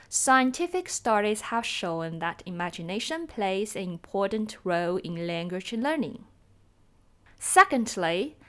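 Lady teacher hosting a podcast, slow and clear, really sing-song. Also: a little high. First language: Chinese. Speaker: language teacher and podcast host. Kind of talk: scripted teaching introduction.

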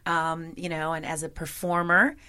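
A woman singer in a conversational interview, with a gravelly voice and a chatty manner full of "you know". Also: sits low, level tone, moderate pace, bright, forceful tone.

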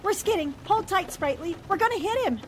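A woman acts a good-natured, sensible newspaperwoman in a forties-style radio drama. Her voice is high, with a mild, agreeable delivery. Rain and car noise are clearly heard behind her. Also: animated, a little fast. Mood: panicked. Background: rain and vehicle sounds.

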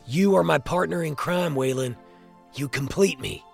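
An actor playing a cocky, ambitious crime boss in an audio drama, with a gravelly, self-assured delivery. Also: male voice, on the high side, moderate pace, faint music behind. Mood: earnest, trusting. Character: cocky, ambitious male crime boss, loyal to his partner.

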